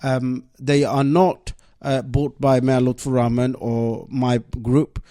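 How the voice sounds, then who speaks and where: A man's voice: medium pitch, level tone, slow pace, dark tone, no room sound. A man whose first language is Bengali, a deputy mayor answering interview questions earnestly in a radio studio and leaning on "obviously". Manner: earnest, leans on "obviously", insistent.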